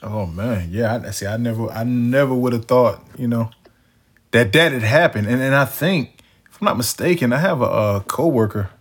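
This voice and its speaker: A man's voice: medium pitch, normal speed, breathy edge. A man hosting a podcast, answering his guest in a hesitant, rambling way with many restarts. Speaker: podcast host. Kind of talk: casual conversational reply.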